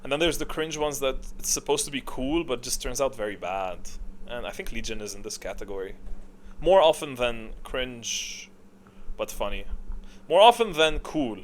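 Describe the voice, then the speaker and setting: A man giving casual, off-the-cuff ranking commentary in an informal, opinionated way, often halting to think aloud. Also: mid-range voice, animated. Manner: opinionated, informal, mildly profane. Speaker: video creator giving a tier list. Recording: clearly audible background noise.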